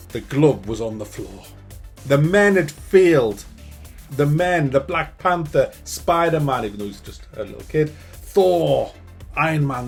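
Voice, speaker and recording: A man with a fairly high voice tears into a film, animated and sarcastic, cursing as he goes. Faint music plays softly behind him. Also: husky. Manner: animated, profane, sarcastic ranting. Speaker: movie reviewer. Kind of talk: ranting movie review.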